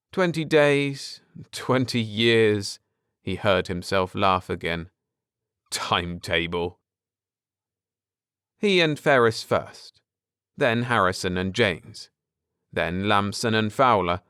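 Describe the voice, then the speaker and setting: Slow, low-voiced male storyteller. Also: fairly bright tone, smooth, no room sound, clean studio sound. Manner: measured, deliberate, dramatic. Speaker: audiobook narrator and channel host. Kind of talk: scripted dramatic narration of a science fiction story.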